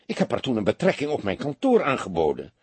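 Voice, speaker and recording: A man voicing a wealthy, smooth businessman in an audiobook, formal and exclamatory in manner. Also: mid-range voice, huge pitch swings, quick pace, dry recording, heavily acted. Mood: shocked, distraught. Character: wealthy smooth businessman, theatrically distraught, then affably condescending. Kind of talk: audiobook character voice.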